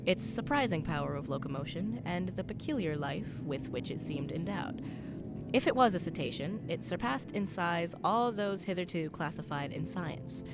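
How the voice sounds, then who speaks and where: Lady playing a serious scholarly professor narrating a radio play, lowish voice, measured, music clearly behind. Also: expressive. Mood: mysterious, earnest. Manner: formal, measured storytelling. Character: grave, scholarly professor recounting a sea mystery.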